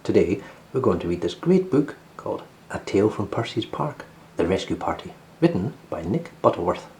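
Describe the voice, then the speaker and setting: A man narrating a children's storybook as host, in an expressive read-aloud style. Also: on the low side, moderate pace, vocal fry, a little hiss or hum.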